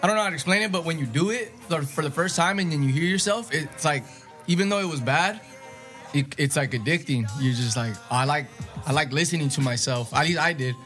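Young man from Imperial Valley, laid-back, loud, slightly high voice, faint music behind. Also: fairly quick. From Imperial Valley, California. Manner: laid-back, lots of "like" and cussing, laughs easily. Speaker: guest rapper. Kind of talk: casual interview.

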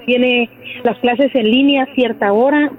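A woman's voice: on the high side, fairly even intonation, measured pace. A middle-aged woman from Veracruz with a Mexican accent, calling into a radio show and speaking plainly and earnestly. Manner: plain and earnest, with soft fillers. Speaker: caller. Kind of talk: phone-in conversation. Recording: tinny phone line.

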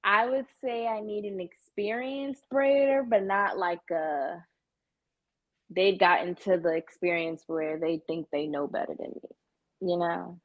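A woman's voice: lowish voice, a little slow, narrow, tinny audio. Frank, sassy American woman chatting casually. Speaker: salon client, guest. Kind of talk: casual chat.

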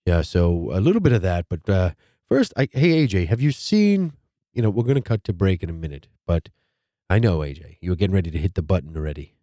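A man hosting a casual podcast, stuffed up, talking in a rambling, halting way and repeating his words. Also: low-pitched, very animated, mellow, no room sound, clean studio sound. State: stuffed up nose. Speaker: podcast host.

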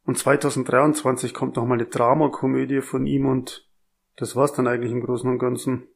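A man co-hosting a horror movie podcast over a remote line, explaining things in a relaxed, easygoing way. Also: medium pitch, brisk, creaky. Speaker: podcast co-host. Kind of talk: casual podcast chat.

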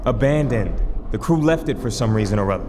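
Radio drama casting: male actor as commanding chief keeper, lowish voice, gruff, curt, bossy. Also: lively intonation, a little fast, big-room sound, background noise, natural voice. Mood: gruff puzzlement.

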